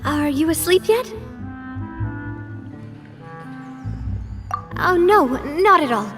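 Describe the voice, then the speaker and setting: High, playful young woman game heroine. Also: lively intonation, moderate pace, a bit bright, soft background music. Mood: eager, friendly. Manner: playful, breezy. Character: cheerful, teasing young woman, confident gang leader. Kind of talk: video game voice acting.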